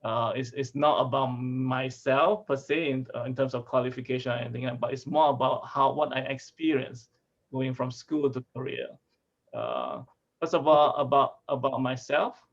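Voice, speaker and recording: A man from Malaysia, a food sensory scientist, giving a relaxed talk over a video call with restarts and chuckles. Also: medium pitch, normal speed, gravelly. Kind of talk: casual video-call presentation. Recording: dull lo-fi video call audio.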